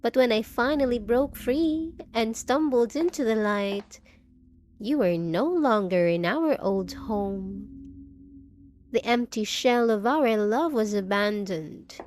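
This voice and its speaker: A woman's voice: mid-range voice, a little mellow, slight background noise. A woman playing a possessive, unhinged undead bride in a roleplay audio drama, cooing endearments with theatrical, sinister sweetness.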